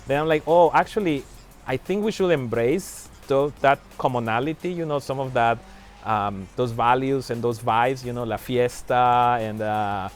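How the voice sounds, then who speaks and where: A man chats casually on a game livestream, often restarting his sentences and trailing off. His voice sits at an everyday middle pitch, and faint music plays behind him. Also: moderate pace. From Mexico. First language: Spanish. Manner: hesitant, many restarts and fillers. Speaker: livestream guest.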